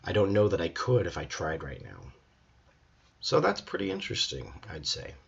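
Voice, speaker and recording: A hoarse young man, a drama student, talking earnestly and reflectively in a personal video journal. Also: low-pitched, wildly swooping pitch, normal speed, a bit bright, dull audio. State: hoarse, voice hard to hear.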